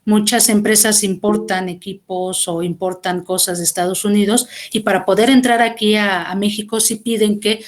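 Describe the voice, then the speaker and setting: A woman's voice: mid-range voice, fairly even intonation, moderate pace, hardly any pauses. A Mexican woman, a guest translator, talking through her experience with thoughtful explanations and small restarts over a video call. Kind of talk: conversational class interview.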